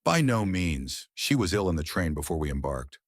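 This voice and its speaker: A man's voice: deep voice, big pitch swings, moderate pace, dry recording, clean studio sound, full character voice. Man voicing a lilting, spirited young society woman. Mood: amused.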